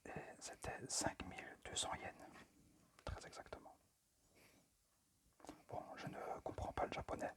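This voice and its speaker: A man speaking in a breathy, unvoiced whisper into a phone microphone, presenting informally in ASMR style with a hesitant, unpractised manner. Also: a little slow, pauses often. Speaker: amateur ASMR creator and game collector. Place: at a desk indoors.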